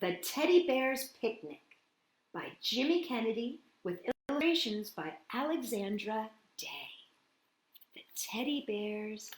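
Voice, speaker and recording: A woman's voice: on the high side, expressive, measured pace, a little hiss or hum. A woman hosting a storytime for small children, talking and singing action songs in a sing-song, encouraging way. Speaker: children's storytime host and singer. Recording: roomy echo, music behind.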